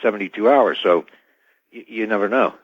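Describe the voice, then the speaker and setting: A man answering interview questions as an expert guest on radio, measured and guarded in his wording. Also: on the low side, no echo. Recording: dull band-limited radio sound.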